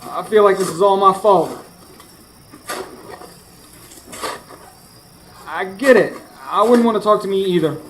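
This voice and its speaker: A man's voice: on the high side, lightly acted. A man playing a grieving, frightened narrator in a live radio horror drama, telling it dramatically in the first person. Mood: sorrowful, pleading. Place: studio before live audience. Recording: noisy live radio stage recording.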